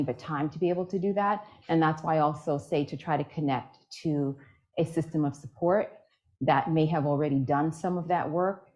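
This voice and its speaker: Low-voiced woman giving a keynote. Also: muffled recording. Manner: earnest, emphatic repetition. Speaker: keynote speaker. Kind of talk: keynote speech with Q&A.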